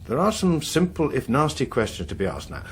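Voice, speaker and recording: An actor playing a middle-aged British politician and father, measured, probing and controlled, in naturalistic radio drama. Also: medium pitch, huge pitch swings, breathy edge. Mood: firm, probing.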